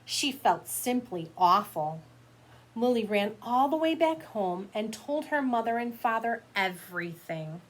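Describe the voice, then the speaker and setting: A woman's voice: fairly high pitch, animated, unhurried. A woman teacher reading a picture book aloud to children, expressively, with explanatory asides to her young listeners.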